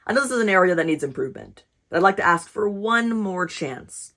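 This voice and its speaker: A woman's voice: medium pitch, expressive, a little fast, a bit bright, natural voice. A woman voicing an eager, earnest, cheerful male moose in a storybook, politely formal as he applies for a job. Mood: sheepish, hopeful. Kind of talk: storybook read-aloud.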